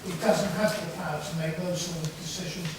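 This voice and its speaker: A man's voice: fairly high pitch, steady tone, brisk, a crowd in the background. A man answering as a councillor at a committee meeting, firm but stumbling over repeated words, in a large echoey room. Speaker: councillor presenting a report. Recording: echoey hall from far off, clear noise.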